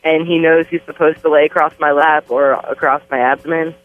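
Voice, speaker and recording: Young caller on a tinny phone line. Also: male voice, on the high side, fairly even intonation, fairly quick, faint music behind. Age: 20s.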